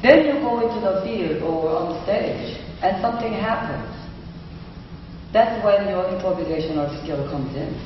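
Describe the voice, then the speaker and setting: A woman's voice: medium pitch, lively intonation, normal speed. Echoey, distant woman. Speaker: professor and p'ansori singer answering questions. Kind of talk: informal lecture question-and-answer. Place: large reverberant room at a university presentation. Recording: echoey hall, distant mic, muffled, noisy.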